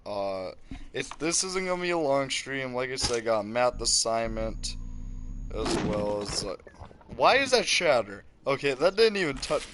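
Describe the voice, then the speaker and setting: A teenage boy hosting a gaming channel, rambling and joking over his gameplay with loud sudden reactions and self-aware asides. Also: medium pitch, very animated, normal speed. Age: teens. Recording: clear background noise.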